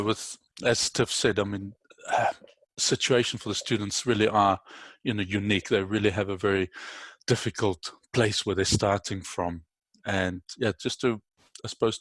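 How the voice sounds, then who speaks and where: A man adding his view in a webinar discussion over a video call, speaking haltingly with many fillers. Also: on the low side, a bit bright, no room sound. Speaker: webinar participant teacher. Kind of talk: casual contribution in discussion.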